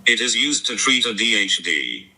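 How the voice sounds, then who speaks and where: Harsh, bright robotic male voice. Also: on the low side, very animated, normal speed, poor audio. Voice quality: synthetic, robotic. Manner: blunt, clipped, insistent. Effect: synthetic robot voice. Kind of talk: confrontational phone call through robot voice.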